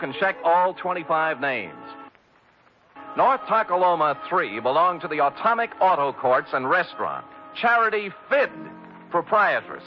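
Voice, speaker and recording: An actor playing a wry, hard-boiled private detective who narrates in a glib, mock-formal deadpan in a scripted 1950s radio drama. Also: male voice, sits high. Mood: wry, observant. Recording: dull old radio recording with music.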